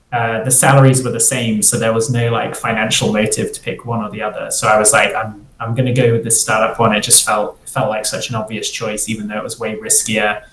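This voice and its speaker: A man who grew up in Singapore, a freelance developer, talking casually in an interview and rambling with many restarts. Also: fairly low pitch. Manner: rambling, restarts often, fills with "like". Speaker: guest, freelance front-end developer. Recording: roomy echo, faint ambient noise.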